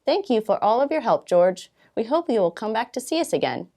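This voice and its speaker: A mild, courteous schoolteacher from a children's storybook, read by a woman. He speaks politely and in an orderly way, with lively rises and falls in pitch. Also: fairly quick. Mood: grateful. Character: mild, courteous male schoolteacher, dismayed by messes. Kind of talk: storybook read-aloud character voice.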